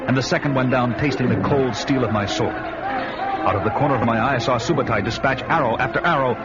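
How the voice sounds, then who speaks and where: A man's voice: medium pitch, brisk, very noisy, lightly acted. An actor playing a grim, battle-hardened barbarian hero who narrates his own story in the first person. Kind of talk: radio drama narration. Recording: muffled audio under loud music.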